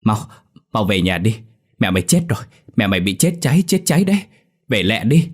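A man's voice: on the low side, sing-song, a little fast, slightly rough. Man playing a frantic, hectoring boy messenger. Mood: urgent, impatient. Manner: urgent, breathless repetition, hectoring. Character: frantic boy, a friend bringing terrible news, bossy.

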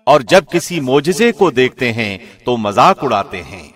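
Man reading scripture with lively intonation. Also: mid-range voice. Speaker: translation reader, radio presenter.

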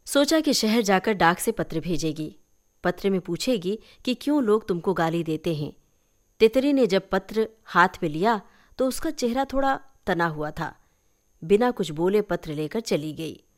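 A woman reading a literary short story aloud as an expressive, scripted narration. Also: mid-range voice, moderate pace. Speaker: story reader.

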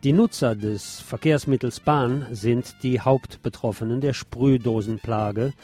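A man's voice: mid-range voice, unhurried, mellow, soft background music. A man presenting a scripted radio news report, adding the odd personal aside.

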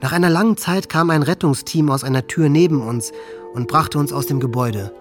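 A man's voice: fairly high pitch, brisk, soft background music, lightly acted. A man playing a disturbed, hate-filled teenage school shooter in a German audio drama monologue. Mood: haunted, recounting.

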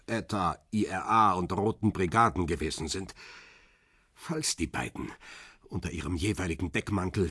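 Husky, menacing male interrogator. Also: low-pitched, fairly bright tone, no echo, studio quality. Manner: terse, insistent questioning. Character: hard, bad-tempered man interrogating, menacing and relentless. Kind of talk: German radio crime drama acting.

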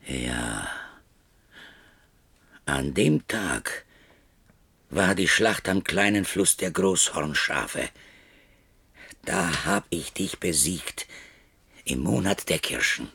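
A proud, humbled old chief speaks in a low, husky, gravelly voice. His delivery is grave and halting, often hushed, in a closely recorded, dry radio play. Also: lively intonation, no echo, full character voice. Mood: resigned, grave. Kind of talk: radio drama acting.

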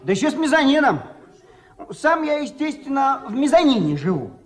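Актёр в радиоспектакле играет хвастливого, восторженного деревенского мужчину, любителя птиц и музыки, который говорит по-простецки и сбивчиво. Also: male voice, very high voice, sing-song, moderate pace. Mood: boastful, excited. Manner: bragging, folksy, stumbling over words. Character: boastful excitable village man, bird lover and musician. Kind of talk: Soviet radio play acting. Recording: 1979 radio play with music.

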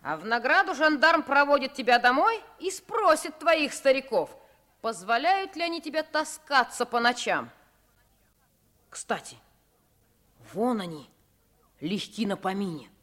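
A woman's voice: sits high, big pitch swings, measured pace, pauses often, fairly bright tone, full character voice. An actress playing a cocky, sardonic, self-assured streetwise boy in a 1950s radio drama.

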